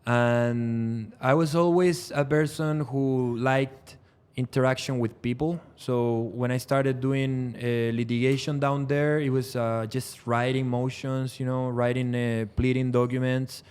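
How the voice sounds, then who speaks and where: A man's voice: mid-range voice, little pitch movement, measured pace, darkish tone, smooth. A man in his thirties from Chile, a Spanish native speaker with a Chilean accent, explaining things step by step as a guest in a podcast interview. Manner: thoughtful, explains step by step with many fillers and restarts. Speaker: trial lawyer, podcast guest. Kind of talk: casual interview chat.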